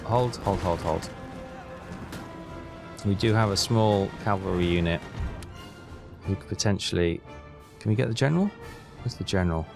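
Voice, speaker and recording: A man's voice: sits low, normal speed, creaky, long pauses, over music. A man hosting a gaming video, giving casual commentary and thinking aloud in a chatty way as he plays.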